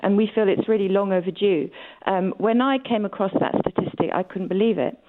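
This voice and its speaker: A woman's voice: medium pitch, fairly quick, phone-quality sound. A woman speaking as a campaigning guest in a radio interview, stressing key words emphatically. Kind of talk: radio interview, advocacy talk.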